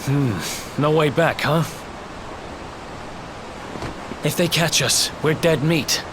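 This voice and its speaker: A man's voice: on the high side, a little slow, noisy background, natural voice. A young man acting a wry, plucky, roguish fugitive in a video game cutscene. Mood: resigned.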